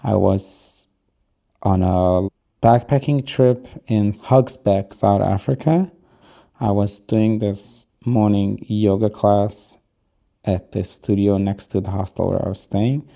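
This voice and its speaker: Young male interviewee, Yiddish native; unhurried, reflective delivery, low gravelly tone. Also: dark tone. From upstate New York. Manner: slow, thoughtful, with pauses and fillers. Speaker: interview guest. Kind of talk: reflective personal storytelling.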